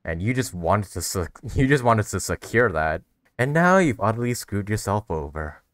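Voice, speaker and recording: A man's voice: fairly low pitch, sing-song, moderate pace, a bit bright, no room sound. A man giving casual, blunt, sweary reactions as host of a gaming video, recorded close on a mic.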